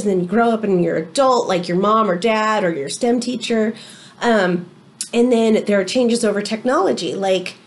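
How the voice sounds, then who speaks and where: Female teacher, middle-aged, high lively voice, expressive and chatty, talking straight to a young class. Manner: expressive, addresses young children directly. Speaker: elementary STEM teacher reading aloud. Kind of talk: children's read-aloud with chatty asides. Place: small indoor room.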